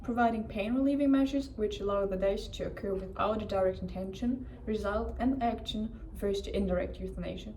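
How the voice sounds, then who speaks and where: A young woman from Germany, a law student, clearly reading out definitions in a prepared presentation. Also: mid-range voice, fairly quick, hardly any pauses, darkish tone. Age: young adult. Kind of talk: read-aloud presentation. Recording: distant mic with faint noise.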